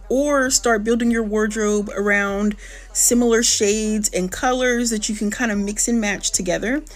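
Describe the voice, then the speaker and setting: Earnest woman giving fashion advice. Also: medium pitch.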